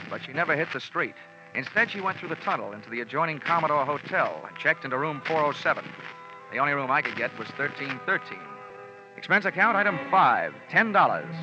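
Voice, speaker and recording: A man's voice: on the high side, lightly acted. An American man acting a cynical, wisecracking freelance investigator who narrates his case dryly in an old radio detective drama. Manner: dry, wisecracking, clipped storytelling. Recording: dull old radio recording with music.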